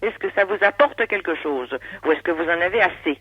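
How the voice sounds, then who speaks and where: A patient, polite woman working for a helpline, gently reproving in a phone conversation heard over a dull line. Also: lowish voice, a little fast, husky, no echo. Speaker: alcoholism prevention helpline worker. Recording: dull, dry telephone line.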